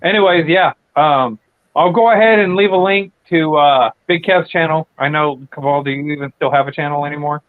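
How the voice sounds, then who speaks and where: Dude hosting a late night stream, kinda high voice, rambly and cussing, sounds like a phone line. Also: male voice, fairly quick, no echo. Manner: rambling, profane, many fillers and restarts. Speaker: channel host. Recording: tinny dry phone-like audio.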